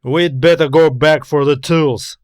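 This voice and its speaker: Jittery, grudging male space scavenger, performed by a male narrator, greedy, excitable, sci-fi story, dry sound. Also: a little high, unhurried, dry recording, natural voice.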